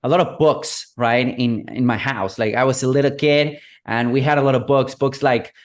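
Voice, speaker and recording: A man's voice: medium pitch, moderate pace. Argentine man, easygoing rambling guest. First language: Spanish. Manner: relaxed, rambling with many fillers like "you know" and "whatnot". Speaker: guest, digital marketing consultant. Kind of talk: interview guest, storytelling.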